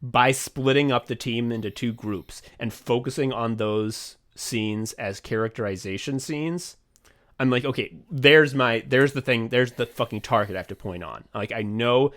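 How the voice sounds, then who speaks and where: A young man chatting casually as a podcast co-host, rambling excitedly and swearing often as he walks through his own thinking. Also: on the low side, moderate pace. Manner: rambling, profane, excitable, acts out his own thought process. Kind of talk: casual behind-the-scenes chat.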